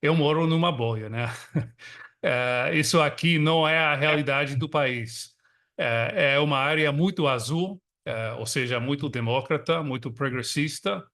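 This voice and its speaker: A man from Texas who speaks English natively, talking in an easygoing, chatty way with many filler pauses, with an American accent and a countryside São Paulo Portuguese lilt, on a video call from his suburban home. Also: on the high side, a bit bright. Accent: American English with a Brazilian São Paulo countryside lilt. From Texas, United States. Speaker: guest panelist, magazine editor. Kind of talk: online panel discussion. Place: home in a small suburban town.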